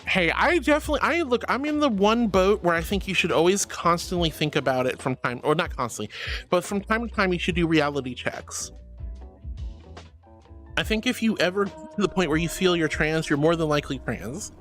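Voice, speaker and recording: A woman streamer chats casually with her audience, rambling and giggling as she goes. Her voice swings widely up and down in pitch. Soft music plays faintly underneath. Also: expressive, fairly quick. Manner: rambling, giggly, talks straight to chat. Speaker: VTuber streamer. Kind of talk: casual livestream chat.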